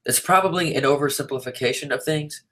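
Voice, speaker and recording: A man reading written interview answers aloud in a measured, articulate, declarative way. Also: fairly high pitch, bright, forceful tone. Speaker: reader of an interviewee's typed answers.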